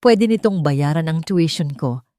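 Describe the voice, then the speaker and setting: A woman's voice: deep voice, lively intonation, a little slow, warm tone, dry recording. Lady reader doing a hurt proud young filipina standing her ground, indignant, dry sound, love story drama. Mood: firm, pleading. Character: proud, wounded young working woman, indignant and firm. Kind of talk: dramatic audio story dialogue.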